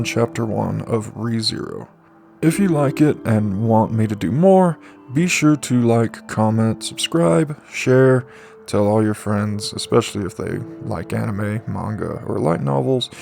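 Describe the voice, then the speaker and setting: A man's voice: medium pitch, creaky, a little mellow. A man reading out scripted narration in the manner of an amateur audiobook. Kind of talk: scripted light novel narration.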